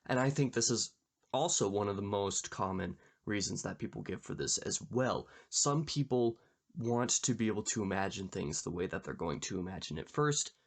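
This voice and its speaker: A man hosting a book channel, talking casually and explaining in a measured, conversational way with a slightly gravelly voice. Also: on the low side, animated. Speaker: YouTube book channel host. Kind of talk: casual book discussion to camera.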